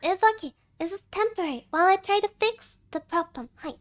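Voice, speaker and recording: A woman's voice: extremely high pitch, animated, fast. A woman streamer chatting casually in a cutesy, hesitant way, dropping in little Japanese interjections. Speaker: VTuber streamer hosting a chat. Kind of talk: casual livestream chat.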